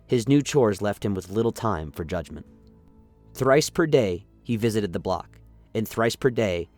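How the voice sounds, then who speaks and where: A man reading scripted, dramatic story narration as the narrator of a radio play. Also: on the low side.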